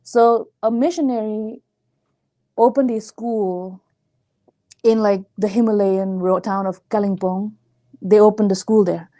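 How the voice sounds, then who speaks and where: A woman's voice: mid-range voice, steady tone, a little slow, long pauses, dark tone. A woman from Nepal, hosting a casual conversation and telling her story in a rambling, chatty way. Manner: chatty and rambling, many restarts and fillers. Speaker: host.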